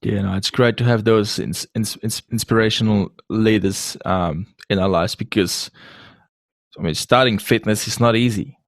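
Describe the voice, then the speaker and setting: Lowish male podcast host, dry sound. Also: fairly even intonation, moderate pace, no echo. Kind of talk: casual conversational interview.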